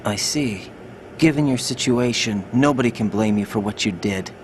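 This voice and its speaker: An actor playing a confused, earnest young soldier in a video game, with a questioning, puzzled delivery. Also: male voice, on the low side, moderate pace, lightly acted. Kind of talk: dramatic video game cutscene acting. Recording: noisy mechanical hum behind voice.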